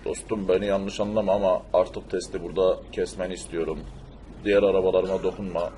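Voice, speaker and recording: A man playing a gruff, plaintive fellow who fusses over his beloved cars in an amateur cartoon dub. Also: deep voice, level tone, quick pace, mellow, a little hiss or hum, close to an everyday voice. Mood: pleading. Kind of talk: amateur cartoon-style game video dub.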